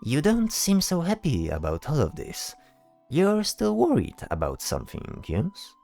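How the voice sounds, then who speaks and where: A man's voice: a little high, huge pitch swings, a little slow, vocal fry, dark tone, soft background music, voice pushed up, exaggerated voice. A man plays a cocky fantasy bard for an audio drama. His voice sits raised and swings widely up and down. He is theatrical and teasing, full of self-assurance. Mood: teasing, prying. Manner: theatrical, teasing, tags questions with "yes?".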